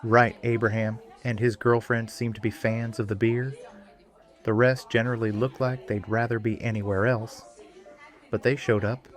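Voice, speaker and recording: A man's voice: mid-range voice, flat delivery, moderate pace, natural voice. A man playing an affable, tentative neighbourhood host in an audio drama. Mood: mildly hopeful.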